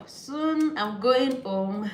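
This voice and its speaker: A woman's voice: fairly high pitch, lively intonation, extremely slow. A woman from Nigeria chatting casually in a cooking vlog, hesitant and self-correcting, often repeating words as she talks. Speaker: vlogger. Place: home kitchen. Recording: echoey kitchen with background noise.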